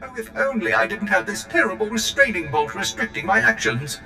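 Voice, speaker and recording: Bright, fussy protocol droid. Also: male voice, sits high, big pitch swings, a little fast, full character voice. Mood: indignant. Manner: prissy, theatrical complaining. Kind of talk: video game cutscene acting. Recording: echoey hall, music behind.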